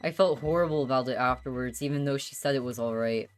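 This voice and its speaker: Woman reading as low-voiced, guilt-ridden divorced dad. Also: quick pace. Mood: regretful. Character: guilt-ridden, exasperated divorced father, darkly comic. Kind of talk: visual novel narration read aloud.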